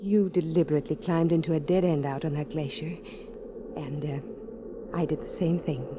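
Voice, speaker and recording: Low-voiced actress as an earnest, desperate woman, old radio. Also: fairly even intonation, normal speed, natural voice. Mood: urgent, confessing. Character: married woman mountaineer, earnest, secretly desperate. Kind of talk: 1940s radio drama acting. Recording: muffled, noisy 1940s radio recording.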